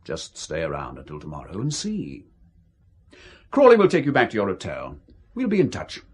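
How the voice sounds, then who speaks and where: Suave British spy handler, radio thriller. Husky, highly animated intonation, polite but evasive, with menace underneath. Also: male voice, normal speed, full character voice. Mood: quietly pressuring. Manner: urbane, evasive, formally polite. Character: suave, courteous, quietly menacing British intelligence man. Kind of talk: radio spy drama acting.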